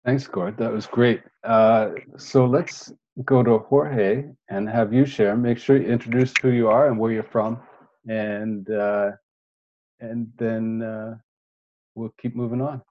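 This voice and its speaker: Slow-talking male moderator, muffled. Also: lowish voice, fairly even intonation, darkish tone. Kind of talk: panel moderating.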